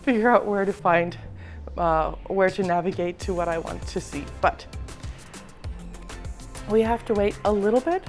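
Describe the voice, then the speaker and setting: A woman with a husky voice from a slightly sore throat, giving a relaxed walking tour of a cruise ship with frequent ums. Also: medium pitch, moderate pace, long pauses, soft background music. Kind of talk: casual walking tour narration. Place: indoors, moving through a cruise ship.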